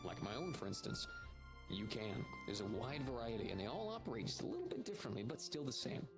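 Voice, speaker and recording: A man with a medium-pitched voice talks at length as a roleplaying guild merchant. He explains options in a wordy, salesman-like way, improvising as he goes, with clear music playing behind him. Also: big pitch swings, quick pace. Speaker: roleplayer running a guild shop in a social VR roleplay.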